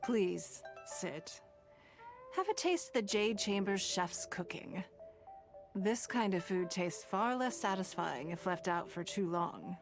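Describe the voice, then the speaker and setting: An actress playing a poised, courteous woman leader in a video game, speaking formally with measured, eloquent phrasing and dry wit. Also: mid-range voice, lively intonation. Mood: gracious, relaxed. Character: poised, commanding, courteous wealthy woman leader, dryly teasing. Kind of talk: scripted video game dialogue. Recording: music under the voice.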